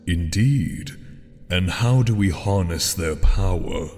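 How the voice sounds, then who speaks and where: Imperious cartoon beast warlord acted by a man, low-voiced and commanding, long reverb with faint music underneath. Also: takes it slowly, darkish tone. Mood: measured. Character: fearsome commanding demon warlord, stern and imperious. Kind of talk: comic dub voice acting.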